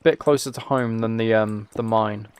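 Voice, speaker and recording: A man's voice: fairly low pitch, steady tone, normal speed, a little hiss or hum. A British man streaming a game and chatting casually with viewers, musing aloud in an informal, rambling way. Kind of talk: casual chat while playing a game.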